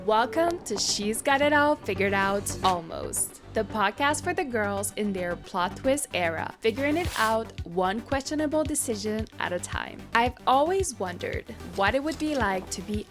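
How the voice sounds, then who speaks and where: A woman's voice: fairly high pitch, lively intonation, moderate pace, hardly any pauses, over music. A woman hosting a podcast, moving between scripted intro and casual interview chat. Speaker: podcast host.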